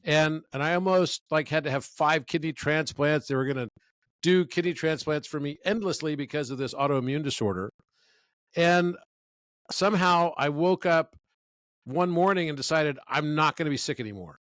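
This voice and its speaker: A man's voice: a little high, normal speed, fairly bright tone, no room sound. A man in his fifties, a guest in a conversational interview, telling stories with enthusiasm. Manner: enthusiastic, storytelling.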